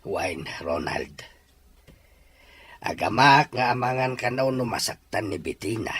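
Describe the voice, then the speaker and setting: A man's voice: mid-range voice, a bit bright, slightly rough, full character voice. A man with a Filipino accent playing a sorrowful, earnest middle-aged father in an audio drama. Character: regretful, pleading middle-aged father, once too poor. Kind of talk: Ilocano audio drama acting.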